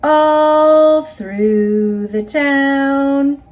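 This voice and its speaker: A woman with an American accent reading a children's story aloud in an expressive, deliberate storytelling manner. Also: high-pitched. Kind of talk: read-aloud children's story narration, partly sing-song.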